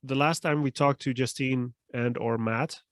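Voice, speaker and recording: A man's voice: mid-range voice, fairly even intonation, unhurried, no room sound, studio quality. A man co-hosting a podcast, asking questions in casual conversation. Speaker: podcast co-host. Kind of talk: casual chat interview.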